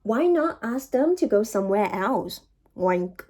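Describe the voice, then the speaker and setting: Woman as a calm storybook pig, slow and matter-of-fact. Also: medium pitch. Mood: helpful. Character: calm, helpful little pig friend.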